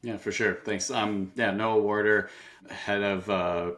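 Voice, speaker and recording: A man from Canada, a guest on a podcast, talking in a relaxed, easygoing way with a few fillers. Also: lowish voice, slow. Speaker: guest, people and culture executive. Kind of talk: casual conversation.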